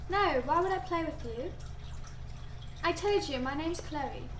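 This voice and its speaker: Young woman, high-pitched, feigned British accent, casual video-chat talk, roomy noisy recording. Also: unhurried. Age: teenager or young adult. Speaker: vlogger. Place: bedroom at home. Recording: echoey, noisy room recording.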